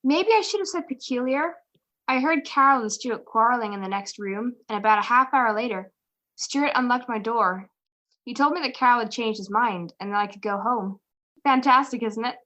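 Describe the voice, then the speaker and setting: A woman's voice: fairly high pitch, moderate pace, pushed and bright. A woman playing a poised, teasing, affectionate detective's wife in a radio play. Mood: arch. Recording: muffled video-call recording.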